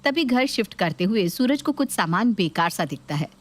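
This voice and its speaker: Animated woman story narrator. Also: medium pitch, moderate pace.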